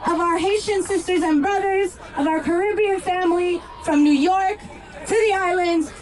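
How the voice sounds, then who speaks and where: A woman's voice: very high-pitched, steady tone, moderate pace, low-quality recording. A woman emceeing an outdoor rally, fervently introducing the next speaker and urging the crowd on. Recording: crowd and street noise outdoors.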